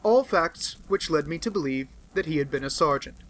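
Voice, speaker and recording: A man's voice: on the high side, lively intonation, normal speed, a bit bright. A man voicing an aloof, brilliant male detective in an audiobook, cool and clipped in his speech. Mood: lecturing.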